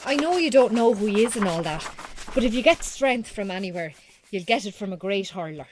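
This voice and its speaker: A woman playing a warm-hearted, brisk Irish farmer's wife in a radio drama, plain-spoken, with an Irish accent. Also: mid-range voice, animated, background noise, lightly acted. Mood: fond, encouraging. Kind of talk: naturalistic radio drama acting.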